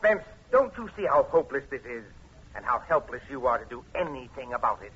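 Very husky, smug crime boss. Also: male voice, mid-range voice, very animated, fairly quick, a bit bright, exaggerated voice. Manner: formal, condescending. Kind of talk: 1940s radio acting. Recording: muffled old radio recording.

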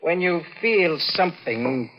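A man's voice: a little high, lively intonation, slow, lo-fi sound. A man acting as a desperate, resentful young ward turned murderer in a 1940s radio thriller, with an intense, melodramatic delivery. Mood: cold, menacing. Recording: noisy vintage 1940s radio recording.

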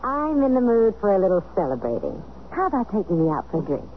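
A woman's voice: medium pitch, sing-song, quick pace, lightly acted. An actress playing a flirtatious, wry, worldly American woman in a 1970s radio drama. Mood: flirtatious, playful. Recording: narrow, phone-like old radio recording, faint noise.

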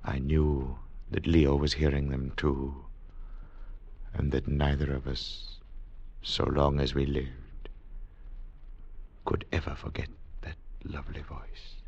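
An actor playing a grave, awestruck adventurer who recounts a haunting tale, solemn and dramatic, as in 1940s radio drama. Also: male voice, very deep voice, monotone, slow, pauses often, darkish tone, breathy edge, lo-fi sound, heavily acted. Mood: mournful, hushed. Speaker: narrator character. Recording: noisy vintage radio recording.

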